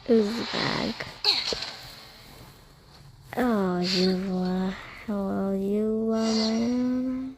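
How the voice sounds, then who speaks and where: A woman giving casual gameplay commentary with halting, understated reactions. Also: fairly high pitch, steady tone, heavy background noise. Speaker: gaming video creator. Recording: loud game music behind voice. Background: game music.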